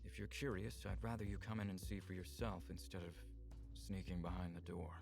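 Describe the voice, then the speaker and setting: A man voicing a calm, earnest male visual novel character who speaks in a measured, polite, formal way. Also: low-pitched, pitched below the performer's own voice. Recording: music clearly behind the voice. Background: background music.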